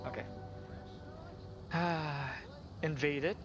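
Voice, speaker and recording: A man with a slightly high voice hosts a casual game livestream. He thinks aloud as he plays, often hesitant about his choices. Music plays clearly behind him. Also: steady tone, extremely slow, highs cut off. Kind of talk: casual live gameplay commentary.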